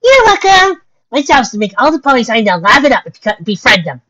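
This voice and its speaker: A woman's voice: fairly high pitch, fairly quick, voice pushed up. A woman voicing a hyperactive, gushing young female party pony in an amateur story reading. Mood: excited. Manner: gushing, excitable. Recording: dull lo-fi recording.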